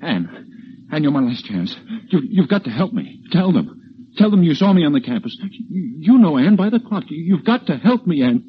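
An actor playing an anxious, desperate, weary professor in a 1940s radio drama, halting and stammering under pressure. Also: male voice, fairly high pitch, big pitch swings, breathy edge. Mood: desperate, pleading. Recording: muffled, hissy old radio broadcast.

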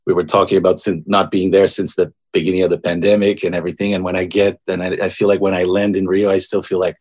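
A deep-voiced man in his forties, originally from Brazil, talks through his answers as a podcast guest. He thinks aloud, restarting sentences often. His tone stays low and steady. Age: 40s. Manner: thoughtful, halting, with many restarts. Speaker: guest composer. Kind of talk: casual interview conversation.

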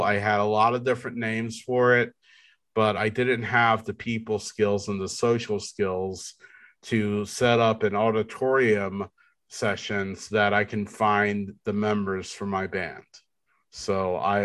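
A man with a deep baritone, co-hosting a podcast in slow, rambling casual chat full of fillers and restarts. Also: fairly bright tone. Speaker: podcast co-host.

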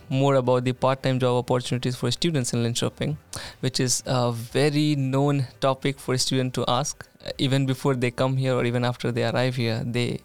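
A man hosting a casual student podcast interview, friendly and often pausing on "uh" fillers. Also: mid-range voice, smooth, no echo. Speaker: podcast host.

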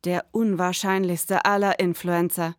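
A woman's voice: on the low side, little pitch movement, unhurried, no echo, studio quality. A woman hosting an investigative podcast in scripted, dramatised style, as narrator and interviewer.

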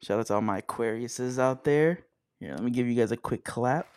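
A man's voice: medium pitch, a little fast, darkish tone, a little hiss or hum. A young man hosting a casual podcast chat, swearing freely, joking and laughing often. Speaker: podcast host.

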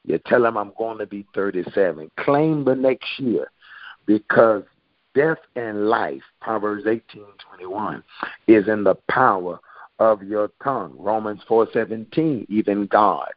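A man's voice: lowish voice, a little slow, dry recording. A man, a pastor, preaching and giving updates to his congregation over a phone call, quoting old-style scripture with emphasis. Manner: preacherly, quotes King James scripture with emphasis. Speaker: pastor and prophet.

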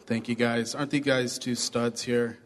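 A man with a hoarse voice, pastoring a church, giving chatty announcements and a short sermon to his congregation. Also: medium pitch, little pitch movement, normal speed, slight background noise. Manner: upbeat, chatty, addresses congregation directly. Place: church sanctuary. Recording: long reverb of a church hall.

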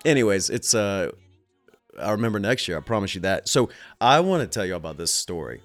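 A man's voice: on the low side, very animated, a little fast. A man in his thirties with a stuffy, nasal voice, telling a rambling story as a show host and often stopping to restart his sentences. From US Southeast. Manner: rambling, self-interrupting, chuckling. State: stuffy nose. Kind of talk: casual storytelling. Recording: music playing behind.

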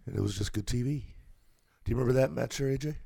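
A hoarse man with a deeply gravelly, low, dark voice, co-hosting a laid-back wrestling chat. He reads through a list of anniversaries in an easygoing way. The sound is dry and close. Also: fast talker, no room sound. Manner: relaxed, reads off a list of dates. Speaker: podcast co-host.

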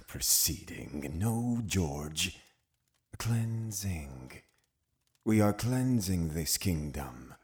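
An actor playing a cunning, zealous Puritan uncle in an audio drama, commanding and condescending with deliberate phrasing. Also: male voice, deep voice, expressive, exaggerated voice. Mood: menacing, righteous. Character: cunning, zealous Puritan uncle, a menacing witch-hunting authority. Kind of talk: full-cast audio drama acting.

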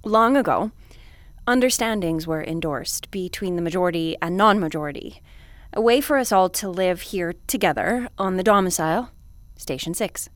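A woman playing an officious, weary space station commander hosting a broadcast in an audio drama, speaking in a formal, measured, bureaucratic way. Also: fairly low pitch, expressive, no echo, lightly acted. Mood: patient, formal. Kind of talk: audio drama acting, broadcast host address.

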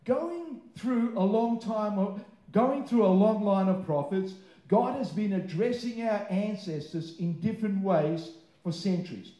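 A man preaches in a high, mellow voice, speaking English as his second language. He leans hard on key words, drawing them out, then slips into quick informal asides and questions to his listeners. The room echoes clearly around him. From born abroad, moved to Australia aged nine. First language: not English; English is a second language. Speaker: pastor preaching. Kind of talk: sermon to a live congregation. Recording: roomy, echoing live sermon sound.

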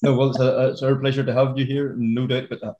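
Mellow-voiced podcast host. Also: male voice, medium pitch, flat delivery, quick pace, highs cut off. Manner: chatty, upbeat, many fillers. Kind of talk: casual podcast chat.

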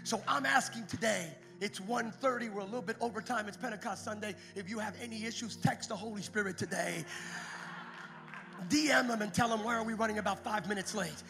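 A man with a high, gravelly voice preaches a sermon, swinging between hushed storytelling and full-throated shouting. Music plays clearly behind him throughout. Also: fairly quick. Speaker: pastor. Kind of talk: Pentecost sermon.

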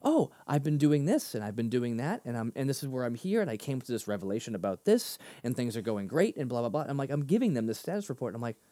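A man co-hosting a casual faith discussion, talking animatedly and breaking into higher voices when he quotes himself. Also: quick pace, few pauses, no room sound. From Boston area. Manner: animated, laughs often, jumps pitch in quoted asides. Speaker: podcast co-host.

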